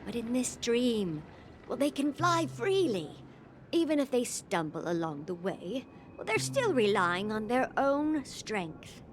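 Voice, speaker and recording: An actress playing a gentle old woman who looks after orphans in a video game, speaking in an earnest, reflective way. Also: medium pitch, a little hiss or hum. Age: older. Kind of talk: video game cutscene acting. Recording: loud music under the voice.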